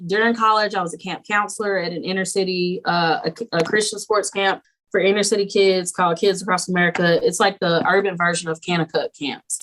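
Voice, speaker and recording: A young woman teacher chatting as a podcast guest, animated and laughing often, slipping into quoted voices as she tells stories. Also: on the low side, a little fast, slight background noise, poor audio. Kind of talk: casual podcast interview.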